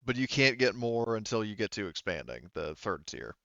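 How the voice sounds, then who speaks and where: Low, smooth-voiced male game master. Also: big pitch swings, normal speed, fairly bright tone, no room sound, dull audio. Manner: informal, explanatory. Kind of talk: casual tabletop game talk, explaining rules.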